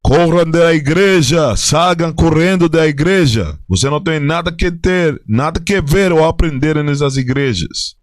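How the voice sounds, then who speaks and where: A man with a Spanish accent leading a live stream, greeting viewers by name and speaking halting, self-correcting Portuguese mixed with Spanish words, turning fiery and exhorting when preaching. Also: a little high, animated, no echo, studio quality. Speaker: religious group leader answering viewers.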